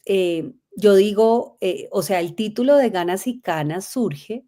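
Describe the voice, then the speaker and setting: A middle-aged Colombian woman, a guest telling her life story in a lively way, laughing often. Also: mid-range voice, measured pace, background noise. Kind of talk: conversational interview.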